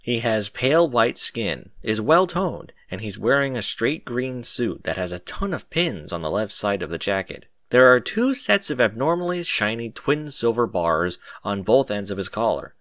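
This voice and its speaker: A man reading a story as animated scripted narration, recorded close and dry. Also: mid-range voice, moderate pace, hardly any pauses, dry recording. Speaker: narrator of a fan fiction audiobook.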